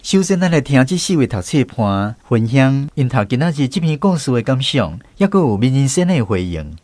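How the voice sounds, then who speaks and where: A man's voice: mid-range voice, big pitch swings, normal speed, a little mellow. A man hosting a radio programme, reading out a scripted introduction as its announcer. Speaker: radio show host and announcer. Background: instrumental music.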